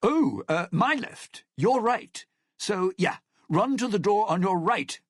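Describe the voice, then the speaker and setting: An actor playing a cynical, self-serving lounge singer in a video game, with a raspy, gravelly voice and a wry, theatrical, menacing delivery. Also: male voice, high-pitched, animated, slow, no echo, studio quality, exaggerated voice. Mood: urgent, wry. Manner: wry, theatrical, hesitant muttering turning to threats. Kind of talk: video game character dialogue.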